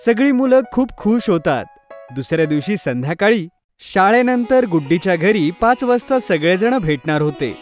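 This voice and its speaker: A woman narrating a children's cartoon story in a gentle, even storytelling manner. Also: mid-range voice, normal speed, soft background music.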